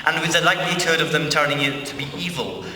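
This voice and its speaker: A man's voice: fairly high pitch, fast talker, pushed and bright. A man playing a pompous, tactless school head making grandiose speeches in a comic amateur play, recorded from afar in a very echoey hall. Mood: formal. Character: pompous, blunt school head addressing students. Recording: very echoey hall, distant mic, faint noise.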